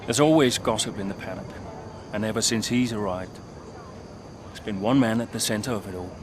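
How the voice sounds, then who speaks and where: A man's voice: lowish voice, normal speed, background noise, lightly acted. A man playing a rueful veteran racing driver. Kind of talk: game cutscene acting.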